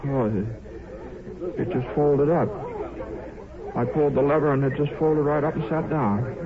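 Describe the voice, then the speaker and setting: An actor playing an earnest, absent-minded mathematician and father in 1950s radio drama, halting and apologetic. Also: a little high, a loud crowd, natural voice. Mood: dazed, defensive. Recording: muffled, noisy 1950s radio recording.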